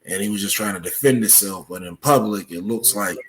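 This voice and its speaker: A man giving explanatory answers as an interview guest, speaking in a halting way with frequent filler phrases. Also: fairly low pitch, a bit bright. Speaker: podcast guest, researcher.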